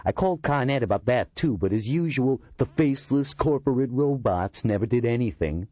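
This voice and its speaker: A man's voice: medium pitch, expressive, normal speed, warm tone, no echo, voice pushed up, lightly acted. A man voicing an eccentric, talkative middle-aged man who complains indignantly. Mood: aggrieved.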